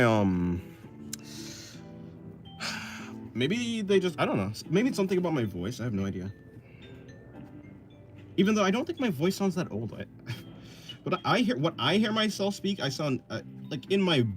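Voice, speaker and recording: A man in his twenties chatting casually on a livestream while drawing, thinking aloud and answering chat in loose, restarting sentences. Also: a little high. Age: 20s. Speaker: streamer.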